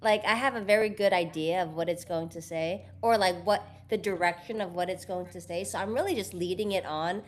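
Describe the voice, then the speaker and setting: A woman's voice: on the low side, fairly quick, few pauses. A woman in casual conversation, talking freely with many "like"s, restarts and laughs. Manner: chatty, lots of "like" and restarts, laughs often.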